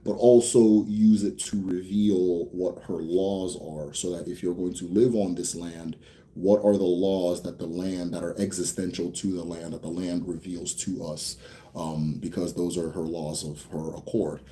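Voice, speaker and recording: A young American man hosting a casual Q&A for viewers. He talks bluntly, swears freely, rambles a bit, then slows down to explain. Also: low voice, fairly even intonation, mellow. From United States. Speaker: host answering viewer questions. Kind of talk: casual livestream Q&A.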